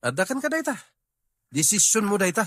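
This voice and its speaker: An earnest, doubting young man in a radio-style drama, voiced by an actor. He has an Ilocano Filipino accent and a slightly high voice, pleading and questioning, recorded dry with no room sound. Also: big pitch swings, a little fast, fairly bright tone, no echo, exaggerated voice. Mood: agitated.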